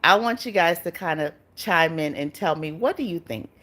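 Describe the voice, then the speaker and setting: A woman's voice: fairly low pitch, lively intonation, normal speed, fairly bright tone. A chatty middle-aged woman from Barbados hosts a casual live stream. She talks straight to her viewers, greets them by name and breaks into easy laughs. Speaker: YouTube channel host.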